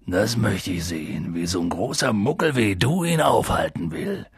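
A reader voicing a huge, gruff half-giant man who blusters loudly but turns hushed and worried. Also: on the low side, expressive, moderate pace, no echo, studio quality, voice pushed down, full character voice. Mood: gruff booming. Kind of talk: audiobook reading.

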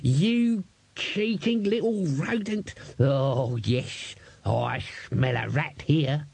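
A man voicing a cranky, suspicious old male manservant who bawls and grumbles in a slow, drawn-out way. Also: fairly high pitch, gravelly, darkish tone, slightly rough, dry recording, voice pushed up, heavily acted. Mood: grumpy. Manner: bawling, quarrelsome, slow drawn-out.

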